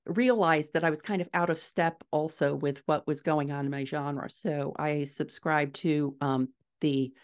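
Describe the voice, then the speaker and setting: Middle-aged lady on a podcast video call, low voice, chatting through her stories. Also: fairly even intonation, measured pace, hardly any pauses. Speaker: podcast guest, indie author. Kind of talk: conversational interview answers. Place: small furnished room at home, indoors.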